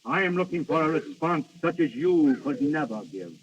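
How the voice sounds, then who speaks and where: An actor playing Death in princely human guise, grandiose, yearning and imperious, in a 1930s radio drama. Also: male voice, a little high, expressive, moderate pace, close to an everyday voice. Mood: commanding, scornful. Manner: theatrical, imperious, brooding. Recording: muffled 1930s radio recording.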